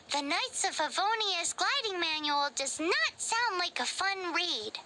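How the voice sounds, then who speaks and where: A woman voicing a tiny, excitable, childlike fairy companion in an anime video game, squeaky and perky. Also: slow pace, noisy background, heavily acted. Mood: doubtful. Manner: perky, refers to self by name. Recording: loud music behind the voice.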